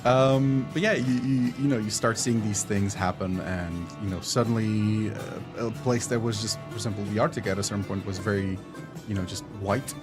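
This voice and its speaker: A man, not a native English speaker, casually showing off a game on a livestream, full of pauses and fillers. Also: on the low side, fairly even intonation, over music. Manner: halting, filler-heavy, playful. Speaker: game developer showcasing a game. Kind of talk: casual livestream gameplay chat.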